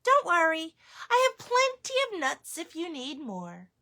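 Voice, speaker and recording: A kindly old grandmother in a picture book, fond and gently chiding, pitched high with a bright, lively lilt, as a woman reading aloud puts her on. She talks slowly, her voice swooping up and down. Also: full character voice. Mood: fond teasing. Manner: chiding yet affectionate. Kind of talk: storybook read-aloud.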